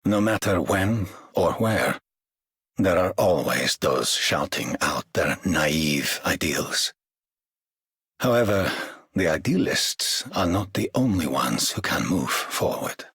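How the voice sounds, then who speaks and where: Cold menacing game character, low husky voice, slow. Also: male voice, lively intonation, fairly bright tone, no echo, studio quality, heavily acted. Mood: cold disdain. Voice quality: husky, rough. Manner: deliberate, disdainful.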